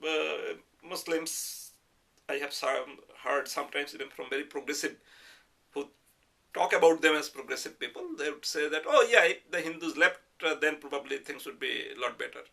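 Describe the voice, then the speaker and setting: A middle-aged or older man with a Bengali accent, giving a reflective interview in a measured, hesitant way with careful qualifications. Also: fairly high pitch, creaky, pushed and bright. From Bangladesh. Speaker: interviewee, professor. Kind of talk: reflective oral history interview.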